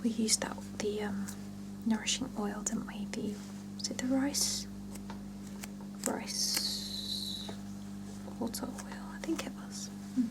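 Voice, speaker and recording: A woman softly whispering in a gentle, reassuring ASMR salon roleplay, with a breathy voice. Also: on the high side, steady tone, slow, long pauses, rough recording. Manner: gentle, soothing, reassuring client-care chat. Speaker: ASMR performer playing a salon hair and scalp therapist.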